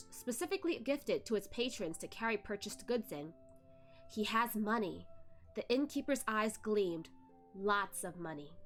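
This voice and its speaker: A woman reading a novel aloud as expressive narration, occasionally tripping over a word and correcting herself. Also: medium pitch. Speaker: host of a read-along reading a web novel aloud. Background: music behind the voice.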